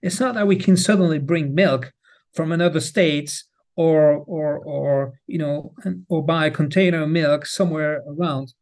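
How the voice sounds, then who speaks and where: A man's voice: on the high side, moderate pace, darkish tone. A middle-aged Swiss man with a French accent, speaking English as a guest in a podcast interview, often repeating words as he restarts and stressing what he cares about. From Switzerland. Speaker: guest, cheese marketing head. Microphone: remote, on the road.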